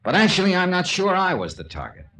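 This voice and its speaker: A man's voice: sits high, huge pitch swings, fast, sharp tone, full character voice. A man playing a hard-boiled American insurance investigator in an old radio drama, clipped, dry and skeptical. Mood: skeptical, probing. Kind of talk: mid-century radio detective acting and narration.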